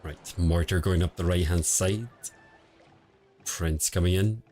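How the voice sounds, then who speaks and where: A man streaming casual commentary on a mobile game match, quiet and relaxed, answering chat between calls of the play. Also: unhurried. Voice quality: quiet, low-level voice. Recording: home stream audio with faint music behind. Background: faint background music.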